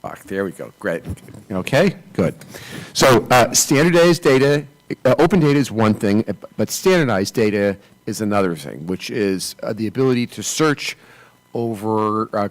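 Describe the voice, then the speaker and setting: A man takes the audience mic at a panel session and lays out his argument fluently. His voice sits a little low. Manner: fluent and argumentative, building a case. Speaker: conference audience member. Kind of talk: question from the floor at a panel.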